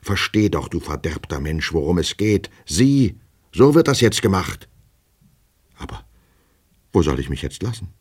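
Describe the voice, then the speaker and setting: A man voicing an anxious, flustered gentleman from a storybook, agitated and repeating himself as he despairs. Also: extremely low pitch, animated, moderate pace, breathy edge, heavily acted. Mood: agitated, indignant. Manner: repetitive, stammering, agitated, talking to himself. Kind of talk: dramatic audiobook character reading.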